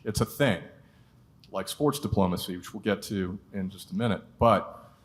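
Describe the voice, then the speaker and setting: Low-voiced male panel moderator. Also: normal speed. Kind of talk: panel interview questions.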